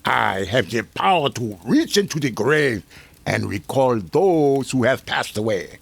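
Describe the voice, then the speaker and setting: A sinister, boastful doctor for a fifties-style radio thriller. His delivery is formal and theatrical, slow and sweeping in pitch, with a gravelly edge. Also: expressive, dry recording. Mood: grandiose, intense. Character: sinister grandiose doctor claiming to raise the dead. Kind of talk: 1950s radio drama acting.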